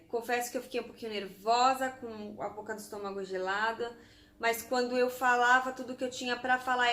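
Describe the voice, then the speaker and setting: A woman's voice: fairly high pitch, a bit bright, roomy sound, slight background noise. A Brazilian woman in her thirties from Santos, chatting casually to camera as a vlogger and going through lists item by item. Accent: Brazilian Portuguese, from Santos. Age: 30s. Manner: chatty, spells out lists item by item with German words mixed in.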